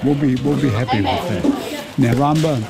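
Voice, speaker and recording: A man's voice: mid-range voice, normal speed, gravelly, over a noisy crowd. A man who leads a community garden, talking casually in an outdoor interview and often tagging "eh". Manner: relaxed, repeats words, tags "eh".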